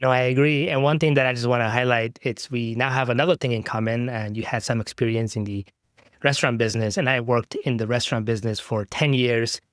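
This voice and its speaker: A man hosting a podcast, asking his guest measured, earnest questions. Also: medium pitch, fairly even intonation, fairly bright tone, no room sound, studio quality. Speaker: podcast host. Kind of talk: conversational interview.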